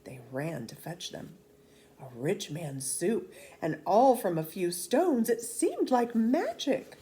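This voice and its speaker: Female children's storyteller, expressive read-aloud with dramatic emphasis, slow pace, very animated intonation. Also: mid-range voice.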